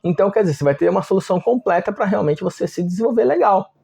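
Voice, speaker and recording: A man's voice: high-pitched, expressive, very fast, vocal fry, dark tone, husky. A man with a Brazilian accent teaching an informal online lesson, explaining step by step in a conversational way with frequent check-in questions. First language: Portuguese. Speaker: language teacher.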